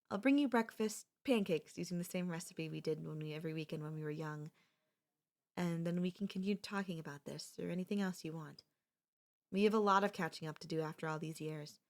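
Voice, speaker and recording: A young woman voicing an obsessive, possessive childhood friend in an ASMR roleplay, talking softly with a sweet, menacing calm and slipping into whispers. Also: lowish voice, lightly acted.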